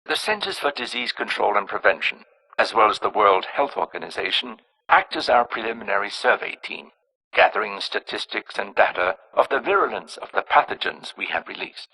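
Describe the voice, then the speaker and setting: An actor playing a cold, arrogant scientist in a video game, narrating with clinical precision in a raspy, hard-edged voice. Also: male voice, fairly low pitch, very animated, a little fast, bright, forceful tone, heavily acted, rough recording. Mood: clinical, detached. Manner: clinical, precise, self-important. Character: cold, arrogant male scientist engineering plagues and alien pathogens.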